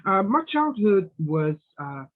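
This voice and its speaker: Older man, interview guest, measured speech with pauses, tinny phone-like sound, video call. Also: sits high, very animated. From United States. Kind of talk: relaxed interview answers.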